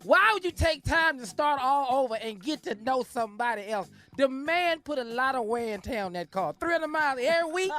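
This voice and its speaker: A man's voice: extremely high pitch, lively intonation, brisk, few pauses, pushed and bright. A man co-hosting radio banter in an emphatic, repetitive, playful comic manner. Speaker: radio co-host.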